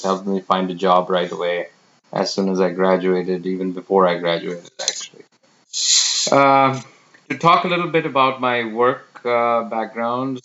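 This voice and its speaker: A man in his fifties from India introducing himself as a real estate professional, talking unhurriedly with many fillers and pauses. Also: on the low side, a bit bright. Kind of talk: self-introduction monologue. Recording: dull lo-fi sound.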